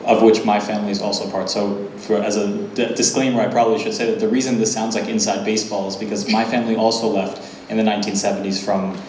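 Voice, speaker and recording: A young man interviewing an author, speaking thoughtfully with some halting starts. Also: lowish voice, little pitch movement, a little mellow, echoey room, a little hiss or hum, low-quality recording. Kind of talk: moderating a conversation.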